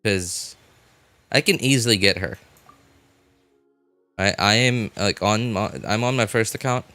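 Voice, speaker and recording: A man live streaming a game, chatting casually with viewers and thinking aloud in halting, informal bursts. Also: lowish voice, bright, forceful tone. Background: music.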